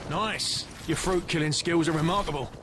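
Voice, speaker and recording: A man's voice: on the high side, normal speed, noisy background, lightly acted. A man playing a matey, plain-spoken British special forces instructor in a video game. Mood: dryly approving. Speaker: military firearms instructor. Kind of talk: video game dialogue, giving drill instructions. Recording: loud gunfire and clatter behind voice.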